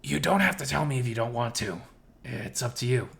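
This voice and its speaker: A man acts out a young male animal survivor from a furry visual novel. He is earnest and curious, with a hopeful streak, and speaks at a middling pitch. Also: brisk, gravelly, fairly bright tone. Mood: gentle, hesitant.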